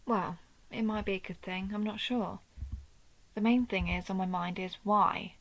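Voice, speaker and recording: A wounded, proud vampire huntress acted by a woman with a creaky, measured voice, the sort heard in a late-night audio drama guarding a friend outside a ruined temple. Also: medium pitch, steady tone, poor audio. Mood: wry, watchful. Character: injured female vampire hunter of vampires, protective, proud, wry. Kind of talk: scripted audio roleplay addressed to the listener.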